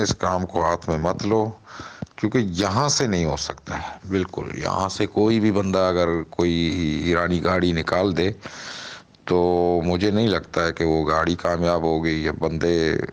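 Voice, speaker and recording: A man talking casually in a recorded phone call, briefing someone and repeating his points for emphasis. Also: low-pitched.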